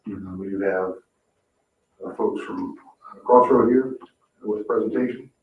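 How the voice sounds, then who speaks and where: A man presenting a staff report at a public meeting in a matter-of-fact, halting way. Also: on the low side. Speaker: town staff member presenting to a board. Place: indoor municipal meeting room. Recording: muffled, echoey, noisy room, distant mic.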